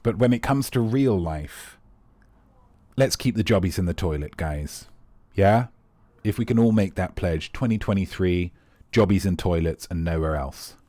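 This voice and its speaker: A man's voice: deep voice, animated, normal speed, dark tone, dry recording. A man hosting a live comedy quiz, rambling and playful with teasing asides to the players. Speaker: quiz host.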